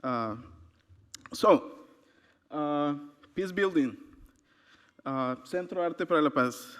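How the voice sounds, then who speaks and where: Male presenter from Honduras, slightly high voice, hesitant with fillers, hall reverb. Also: animated, slow, fairly bright tone, clean tone. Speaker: conference presenter. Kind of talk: academic conference talk. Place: conference hall.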